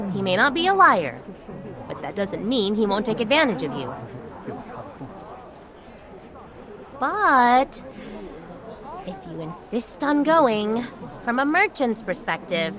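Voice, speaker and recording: Lively, teasing merchant woman. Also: mid-range voice. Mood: cautioning, shrewd. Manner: teasing, coaxing. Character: playful, shrewd, teasing young woman merchant. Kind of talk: dramatic video game dialogue. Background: music.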